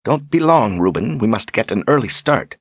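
A man's voice: on the low side, animated, moderate pace, slightly rough, no echo, lo-fi sound, voice pushed up. A man voicing a stern, irritated woman who speaks in a clipped, controlled way, as in an audiobook. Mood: tight, warning. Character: stern, curt, irritated woman traveling companion. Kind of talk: audiobook character acting.

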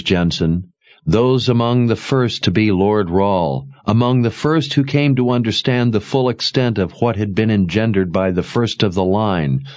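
A calm, commanding lord who explains at a slow, measured pace, read by a man in his own low voice. His delivery rises and falls with expression, in a fantasy audiobook. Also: no echo.

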